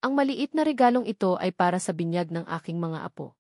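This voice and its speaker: A remorseful older Filipino mother, played by a woman narrator speaks in an audio drama. She is solemn and measured, with an apologetic tone. The sound is dry and close. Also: on the low side, expressive, mellow, no room sound. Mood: remorseful, tender. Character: remorseful older mother writing to her family.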